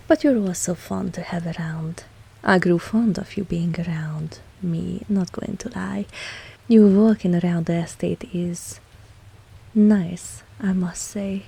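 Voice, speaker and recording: A woman playing a seductive, possessive vampire mistress in an intimate ASMR audio roleplay, breathy and often whispering, teasing and commanding. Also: lowish voice, warm tone, exaggerated voice, rough recording. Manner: slow, teasing, dominant, with pet names.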